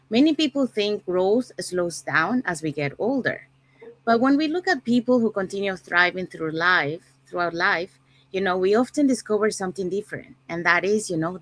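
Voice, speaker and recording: A woman from Panama hosting an online panel show in a relaxed way, with many fillers. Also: medium pitch. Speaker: host.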